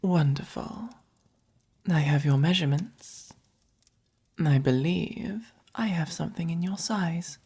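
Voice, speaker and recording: A woman voicing a gentle, possessive, doting vampire in a soft-spoken ASMR roleplay, with an English accent and breathy, whispery, coaxing delivery. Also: sits low, exaggerated voice. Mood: adoring, teasing. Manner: slow, coaxing, gently commanding. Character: gentle, possessive, doting dominant vampire keeping a human pet.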